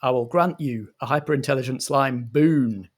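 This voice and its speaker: A man's voice: medium pitch, moderate pace, natural voice. A man voicing a haughty, hyper-intelligent slime creature with a pompous manner in a comic audio drama. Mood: haughty awe.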